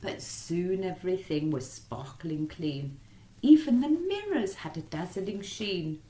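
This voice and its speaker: A woman reading a rhyming children's story as storyteller, in an animated, theatrical way. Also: on the low side, slow. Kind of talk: expressive rhyming storybook narration. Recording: echoey room.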